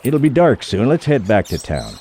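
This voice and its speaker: Man acting spooked deer hunter, wildly swinging pitch. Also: huge pitch swings, normal speed, mellow. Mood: uneasy, defensive. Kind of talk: radio play acting. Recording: noisy sound-effect bed behind voice.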